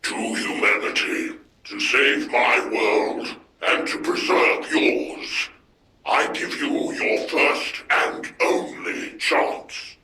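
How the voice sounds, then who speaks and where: An actor playing a commanding alien warlord in a video game, declaiming grandly and with menace. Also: male voice, medium pitch, drawn-out words, bright, forceful tone. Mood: solemn, menacing. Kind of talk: video game villain acting. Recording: echoing, reverberant broadcast.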